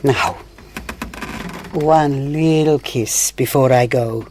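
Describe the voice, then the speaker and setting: An actress playing a scheming, seductive señora in a radio drama, with a coquettish, theatrical manner. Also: female voice, rumbling low, very slow, dark tone, a little hiss or hum, heavily acted. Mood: coy, seductive. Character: seductive, scheming, theatrical Latin American judge's wife.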